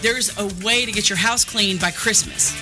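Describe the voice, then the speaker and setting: A woman's voice: medium pitch, moderate pace, music in the background. A woman co-hosting a morning radio show, chatting casually with enthusiasm and emphasis on a close studio mic. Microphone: close broadcast studio mic.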